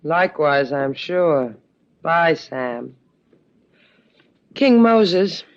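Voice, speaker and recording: Low-voiced, wry woman sailor. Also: lively intonation, drawn-out words, full character voice. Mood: wry, breezy. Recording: dull vintage 1950s radio recording.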